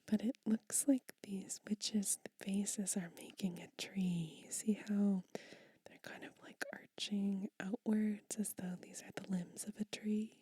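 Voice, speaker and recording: Slow, breathy whispering woman. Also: medium pitch, fairly even intonation, no room sound. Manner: soft whisper, unhurried, reads verses aloud. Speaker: ASMR reader. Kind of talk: whispered ASMR book reading.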